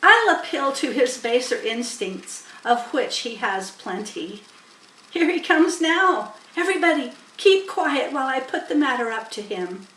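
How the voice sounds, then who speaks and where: A shrewd old storybook sheep, voiced by a woman, emphatic and persuasive. The voice is on the high side, in a roomy recording. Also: big pitch swings, a little slow, sharp tone, far from the mic, slight background noise, heavily acted. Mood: shrewd, confident. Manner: emphatic, lecturing. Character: old female sheep, shrewd, firm, persuasive barn elder.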